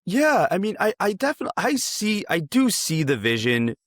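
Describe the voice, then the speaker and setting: Lively male interviewer, swinging intonation. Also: high-pitched, moderate pace, no echo, studio quality. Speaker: sports show interviewer. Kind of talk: casual interview chat.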